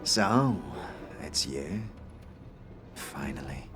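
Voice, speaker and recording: A man voicing a wild-eyed, eccentric, middle-aged inventor in a fantasy audio drama, speaking grandly and cryptically with theatrical pauses. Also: a bass voice, animated, a bit bright, a little hiss or hum, voice pushed down, heavily acted. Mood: grand, expectant.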